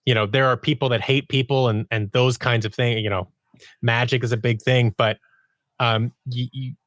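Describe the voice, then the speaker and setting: A man speaking as a guest writer and producer in a casual interview, haltingly thinking aloud and restarting his phrases. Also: mid-range voice, a bit bright, dry recording. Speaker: podcast guest, audio drama writer and producer.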